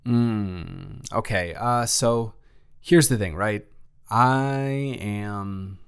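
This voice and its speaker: A young man playing an anxious, flustered student with a crush in an intimate, conversational audio roleplay, talking in an awkward, halting, rambling way. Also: fairly low pitch, clear, resonant tone. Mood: awkward, evasive.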